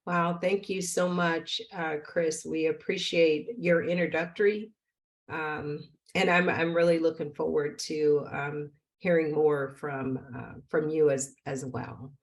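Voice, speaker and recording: A middle-aged woman hosting an online panel on a video call, moderating conversationally with hesitant pauses. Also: low-pitched, fairly even intonation. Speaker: host and moderator. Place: room at home, indoors.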